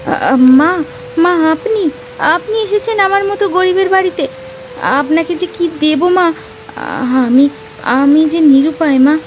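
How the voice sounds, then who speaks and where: A woman's voice: very high voice, fairly even intonation, a little slow, a little hiss or hum. A woman playing a humble, hospitable poor village wife in a children's moral cartoon. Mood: awed.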